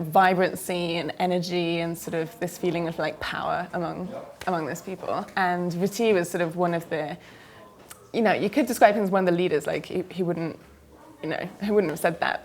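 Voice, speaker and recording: Gravelly-voiced woman, hesitant. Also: fairly low pitch. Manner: hesitant, many fillers and "like". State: tired from travel. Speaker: filmmaker guest. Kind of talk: interview answers.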